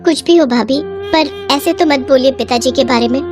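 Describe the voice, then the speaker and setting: A woman voicing a gentle, trusting village sister-in-law in a moral story, polite and concerned. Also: high voice, moderate pace, music in the background, natural voice. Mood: worried.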